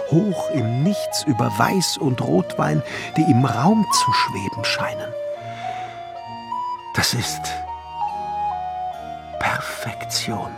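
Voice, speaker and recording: Radio play role: rapt craftsman, slow, halting, theatrical, highly animated pitch, reverent hush. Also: gravelly. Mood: hushed fascination. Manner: reverent, halting, theatrical, often dropping into whisper. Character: awestruck male glassmaker rapturously contemplating a painting. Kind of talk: German radio play monologue. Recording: loud music behind the voice.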